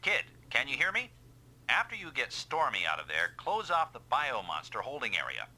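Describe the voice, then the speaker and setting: A man playing a brisk, impatient commander of a mech squad in a dubbed video game. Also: medium pitch, huge pitch swings, exaggerated voice, poor audio. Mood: urgent, commanding. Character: gruff, commanding male mech squad boss. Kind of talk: anime-style video game dub acting. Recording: loud game music behind. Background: loud music.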